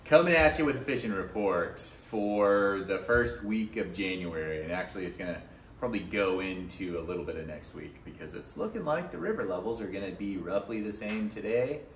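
A man's voice: fairly low pitch, expressive, moderate pace. Man chatting in an echoey shop. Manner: loose and chatty, teasing, with many fillers and restarts. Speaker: fly shop owner giving a fishing report. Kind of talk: casual fishing report to camera. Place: small fly shop with hats and rods on the walls. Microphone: camera a few feet away. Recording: roomy shop sound, mic at a distance, faint background hum.

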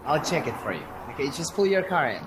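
A man's voice: fairly high pitch, big pitch swings, fast talker. An actor playing a kind, honest young male mechanic who speaks in a friendly, earnest way. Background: music.

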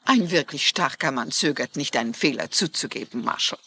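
An actress playing a polite, shrewd, inquisitive mystery-writer sleuth in a dubbed TV drama. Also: female voice, low-pitched, expressive, brisk, bright, forceful tone, a little hiss or hum, heavily acted. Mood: wry. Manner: polite, hesitant probing. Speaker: lead actor in a dubbed mystery series.

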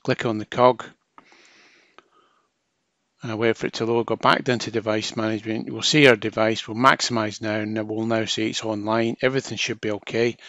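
A man's voice: fairly low pitch, a bit bright, no room sound. A man narrating a hands-on technical tutorial as a trainer, explaining informally step by step.

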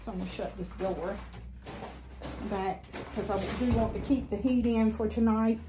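A middle-aged woman chatting casually about her seedlings in a small greenhouse, unhurried and rambling with many ums, filmed on a handheld phone. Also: medium pitch, fairly even intonation, background noise. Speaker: flower farm vlogger. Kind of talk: casual gardening vlog. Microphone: phone camera held while filming.

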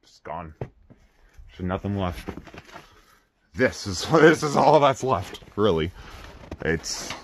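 A middle-aged man talks casually to a handheld camera outdoors. His voice sits fairly low but moves up and down with lively intonation. The delivery is informal and halting, and clear noise sits behind the voice. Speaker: exploration vlogger. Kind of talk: casual off-the-cuff walking commentary. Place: outdoors in snowy burned-out house ruins. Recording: clearly noisy outdoor recording with clicks.

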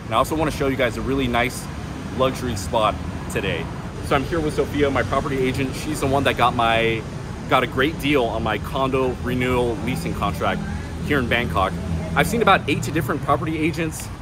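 A man's voice: mid-range voice, fairly quick. A man in his thirties, a vlog host, talking to camera in a casual, upbeat way while walking around outdoors. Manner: chatty, upbeat, talks with his hands. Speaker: vlogger host. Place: outdoors on a city plaza and rooftop. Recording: outdoor street noise behind. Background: traffic and city ambience.

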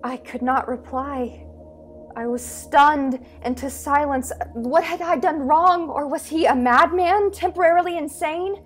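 Composed, devoted young female starship attendant played by a woman, slightly high voice, measured formal delivery, music behind, sci-fi radio play. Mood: stunned, anguished. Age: young adult. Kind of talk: radio play acting and narration. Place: bedroom, over a video call.

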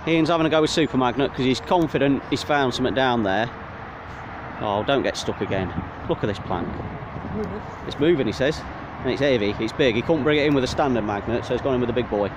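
A young man with an English Midlands accent, chatting excitedly while vlogging from a riverbank, full of tag questions. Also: mid-range voice, fast. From England. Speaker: magnet fishing vlogger. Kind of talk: casual outdoor commentary. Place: riverbank outdoors. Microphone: handheld or body camera. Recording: outdoor wind and traffic noise.

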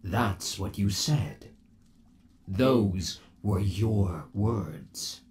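A man's voice: on the low side, drawn-out words, a little mellow, a little hiss or hum. A man playing an undead, obsessive boyfriend trapped in a mechanical suit, sweet-talking and possessive in a theatrical ASMR roleplay. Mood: accusing, possessive. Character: undead yandere boyfriend trapped in springlock suit, menacingly doting. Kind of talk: ASMR roleplay, scripted monologue to the listener.

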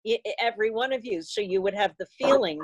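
A woman's voice: medium pitch, fast talker, no echo. An older woman chatting casually on a video call as she helps run an online meeting. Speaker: meeting organizer.

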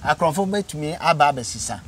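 A middle-aged Ghanaian snail farmer answering questions outdoors, unhurried and explaining step by step. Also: male voice, on the high side, animated, a bit bright, a little hiss or hum. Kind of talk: casual interview answers. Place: outdoors on a farm under trees.

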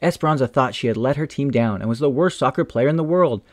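A man reading a children's picture book aloud in a gentle, expressive storytelling manner. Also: mid-range voice, fast talker, no echo, clean studio sound. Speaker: storytime reader.

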